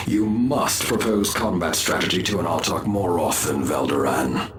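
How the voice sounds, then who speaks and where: Game elf schemer: low, theatrical, mocking, very animated intonation, echoey. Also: male voice, slow pace, crowd noise, heavily acted. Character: sly, sardonic male elf outcast, cunning strategist. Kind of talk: scripted video game acting.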